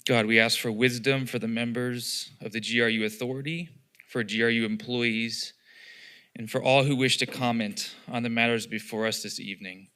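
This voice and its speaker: Pastor, man, clear resonant voice with a bright edge, measured and reverent prayer. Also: mid-range voice, fairly even intonation. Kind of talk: public prayer. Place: public meeting room.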